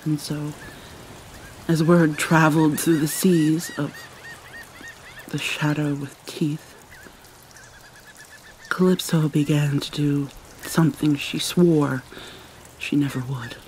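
A woman performs a haunted mermaid cursed with vampirism in an ASMR roleplay. She speaks very slowly and mournfully, with long pauses, her soft breathy voice often sinking to a whisper. Steady rain runs behind her. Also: low-pitched, slight background noise. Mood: solemn, wistful. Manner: halting, mournful, dramatic pauses. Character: vampire mermaid, sorrowful young-looking princess, centuries old, haunted. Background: rain ambience.

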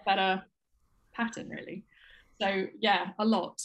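A young woman guest on a casual video call over a laptop mic, soft-spoken and hesitant with many fillers. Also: medium pitch, fairly even intonation, measured pace, muffled recording. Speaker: guest test knitter. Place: home room indoors. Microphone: laptop webcam mic.

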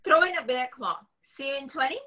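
A wry, wary young woman on an online date , played by a woman at a table read. Her voice is fairly high and bright, delivering film dialogue over a video call. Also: expressive, a little fast. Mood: playful, awkward. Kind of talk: actor reading a script at a table read.

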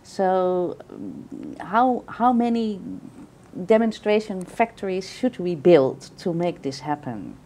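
A woman's voice: fairly low pitch, very animated, takes it slowly, mellow, a little hiss or hum. A middle-aged woman moderating a live-streamed session, talking hesitantly with many fillers. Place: bright room by large windows.

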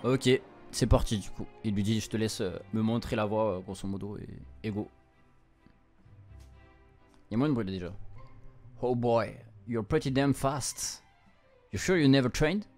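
A man's voice: fairly low pitch, normal speed, long pauses, darkish tone. A young man doing casual playthrough commentary, informal and hesitant as he translates game text aloud on a close streamer mic. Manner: informal, hesitant, stumbling while translating on the fly. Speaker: streamer, let's-play host. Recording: music behind the voice. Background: game music.

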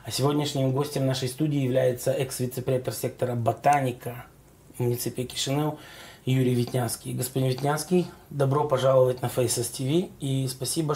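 A middle-aged man, hoarse from a cold, hosting a studio interview in a leisurely, chatty way. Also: medium pitch, steady tone, a little fast, darkish tone. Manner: leisurely, chatty questioning with frequent affirming interjections. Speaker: talk show host.

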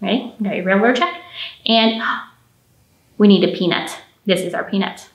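A woman's voice: mid-range voice, moderate pace. A woman in her thirties leading a storytime for small children, speaking in a simple, playful, encouraging way. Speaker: children's library storytime host. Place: medium-large indoor library room with painted mural. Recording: roomy indoor echo.

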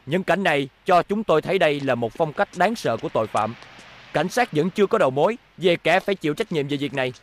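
A man voicing a TV news anchor in a cartoon dub, formal and even. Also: on the high side, fast. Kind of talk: scripted dub narration. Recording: music behind voice.

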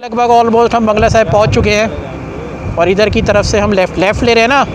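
A man's voice: sits high, lively intonation, fairly quick. A young man gives casual commentary in a raised voice while riding a scooter. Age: young adult. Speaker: vlogger. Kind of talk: casual moto-vlog commentary. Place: on a moving scooter on city roads at night. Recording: noisy street and vehicle ambience.

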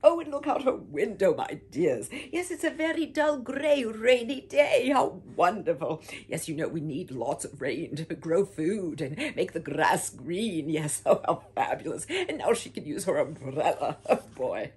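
Older woman storyteller, very husky and breathy, slow and swooping, theatrical read-aloud. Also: very animated, darkish tone. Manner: theatrical, swoops high and low, whispers often, calls listeners "my dears". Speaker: storybook reader. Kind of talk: expressive read-aloud for children. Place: home room with bookshelf, indoors.

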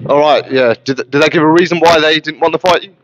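A man's voice: fairly high pitch, steady tone, fast talker, a bit bright, rough recording. A man interviewing a boxer at a gym, asking long, rambling questions with plenty of ums and uhs. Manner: chatty, rambling questions with fillers. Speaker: boxing interviewer. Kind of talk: casual interview. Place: boxing gym, indoors.

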